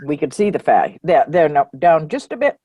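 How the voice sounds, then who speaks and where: A woman's voice: deep voice, very animated, normal speed, slightly rough, no room sound, phone-quality sound. Casual woman, dry close sound. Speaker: book publisher. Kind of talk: casual remarks at an online book launch.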